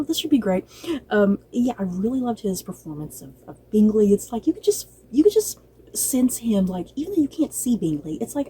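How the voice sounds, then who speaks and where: A woman's voice: mid-range voice, warm tone, a little hiss or hum, low-quality recording. A woman giving a casual, chatty review, speaking in a gushing, emphatic and informal way. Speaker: book and drama reviewer.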